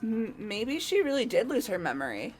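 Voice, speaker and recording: A woman playing an excitable, candid young character in a fan-voiced game, with informal, exclamatory delivery. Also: fairly high pitch, normal speed, soft background music. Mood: concerned, puzzled.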